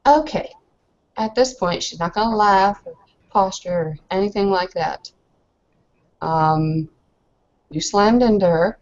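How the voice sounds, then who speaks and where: A woman's voice: mid-range voice, measured pace, pauses often. A woman playing a tabletop roleplaying game, chatting casually and describing her character's moves. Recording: dull lo-fi voice chat audio.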